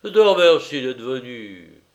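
A man's voice: medium pitch, very animated, measured pace, muffled recording. A man voicing a drunken, maudlin master of a house in an audiobook story, effusive and mock-dignified, with thick slurred consonants. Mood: awkward politeness.

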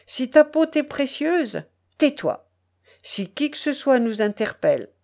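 A woman's voice: sits high, sing-song, unhurried, gravelly, a little mellow, pitched above the performer's own voice, full character voice. A woman voicing a brutal, commanding male smuggler in an audiobook, harsh, mocking and imperious in manner. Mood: stern, menacing. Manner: harsh, imperious, growling orders. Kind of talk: dramatic audiobook character voice.

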